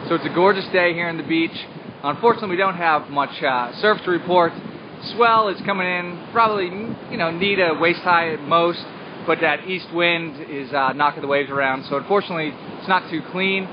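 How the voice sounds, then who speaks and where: A man in his thirties cheerfully giving a morning beach report to a phone held at arm's length, outdoors on a sunny beach. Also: a little high. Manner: cheerful and easygoing, listing conditions. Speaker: vacation rental host giving beach report. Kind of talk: upbeat casual report to camera. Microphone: phone camera at arm's length. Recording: dull lo-fi phone audio with wind and surf noise.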